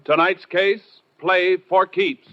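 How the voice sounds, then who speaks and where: A male 1950s radio announcer with a high-pitched voice. He reads his script in a grand, declamatory way. Loud music plays behind him on a dull, vintage recording. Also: fairly even intonation, takes it slowly.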